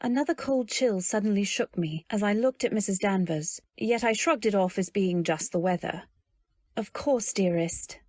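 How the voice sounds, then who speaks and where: A woman playing a dreamy, naive young newlywed, narrating in measured phrases in an old-time radio drama style. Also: mid-range voice, a little mellow, no echo, clean studio sound, close to an everyday voice. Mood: uneasy, polite. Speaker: narrator and lead. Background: faint music.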